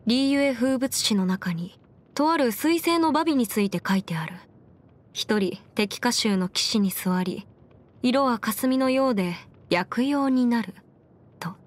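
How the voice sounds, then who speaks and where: A woman's voice: fairly high pitch, expressive, unhurried. A woman voicing a confident, clever young female legal advisor in an anime game, articulate and slightly boastful, with playful pride. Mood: explaining. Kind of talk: Japanese anime game character voice lines. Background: music.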